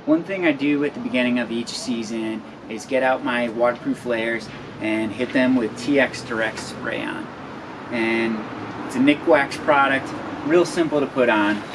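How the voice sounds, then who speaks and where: A man's voice: mid-range voice, unhurried. A man in his thirties giving a casual how-to explanation as an outdoor vlogger. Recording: clear ambient noise behind voice.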